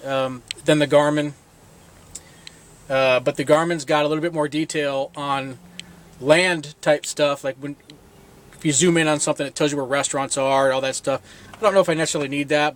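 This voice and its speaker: Rambling middle-aged man showing his boat. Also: a little high. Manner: rambling, lots of fillers and restarts, self-deprecating. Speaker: boat owner showing his own repair work. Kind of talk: casual do-it-yourself walkthrough. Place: outdoors on a boat.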